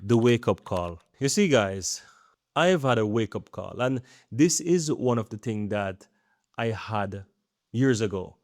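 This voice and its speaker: A man's voice: fairly low pitch, no room sound, clean studio sound. A man in his thirties talking earnestly in a rambling vlog, often checking in with "right?". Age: 30s. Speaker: YouTube creator. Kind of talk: casual talking-head vlog.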